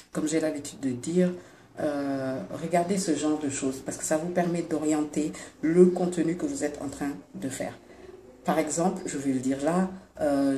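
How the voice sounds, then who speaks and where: A woman with a Senegalese French accent, congested with a cold, chatting casually to her vlog viewers in a hesitant, pausing way. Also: low-pitched, mellow, echoey room, slight background noise. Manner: chatty, hesitant with many pauses. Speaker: vlogger.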